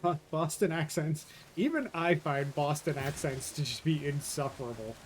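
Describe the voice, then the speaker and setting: A man's voice: fairly high pitch, normal speed. A man from New York chatting casually with his viewers while streaming a game, answering chat as he plays. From New York, USA. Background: faint game music.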